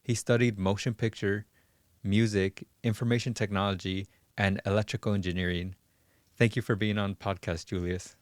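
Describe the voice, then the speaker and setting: A man's voice: on the low side, little pitch movement, normal speed, no echo, studio quality. A man hosting an interview podcast, presenting his guest and posing questions. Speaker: podcast host. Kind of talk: scripted introduction and interview questions.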